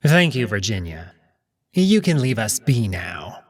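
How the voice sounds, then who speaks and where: A man's voice: on the low side, sing-song, unhurried. A man voicing a small, haughty male wolf emperor with a dismissive manner in a visual novel reading.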